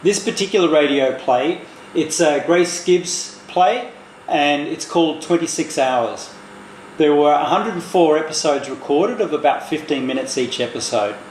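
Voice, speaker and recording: A man's voice: fairly high pitch, moderate pace. A man presenting in an explanatory video narration style. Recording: echoey room, faint background noise.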